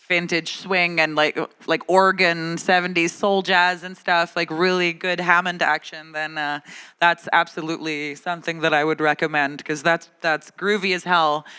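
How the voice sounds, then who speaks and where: A woman's voice: lowish voice, pushed and bright, clear, resonant tone. A woman moderating a conference panel as a publicist, talking loosely with laughs and fillers. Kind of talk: panel discussion.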